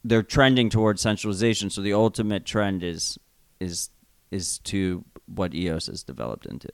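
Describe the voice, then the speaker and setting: A man's voice: low voice, normal speed, vocal fry, smooth, no room sound. New york guy cohosting a podcast, blunt, curses a bit, dry close sound. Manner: blunt, swears casually, trails off and restarts. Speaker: podcast co-host. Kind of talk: casual podcast conversation.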